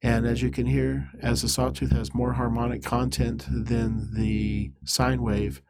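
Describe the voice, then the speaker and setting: A man presenting an instructional software demo in a halting, methodical, step-by-step way. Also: mid-range voice, flat delivery.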